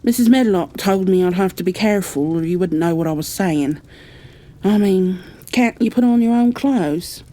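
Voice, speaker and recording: A woman's voice: mid-range voice, normal speed, mellow, lightly acted. A woman voicing a blunt, good-natured young housemaid with a Yorkshire accent in a storybook reading. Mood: frank, matter-of-fact. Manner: blunt, chatty, plain-spoken.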